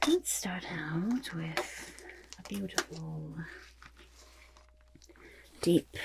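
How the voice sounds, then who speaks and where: A woman voicing a vain, excitable goblin artist in an ASMR roleplay, often whispering, with a gravelly creak and pompous drawn-out words. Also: sits low, heavily acted. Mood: fussy, musing. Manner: third-person self-praise, pompous drawn-out words.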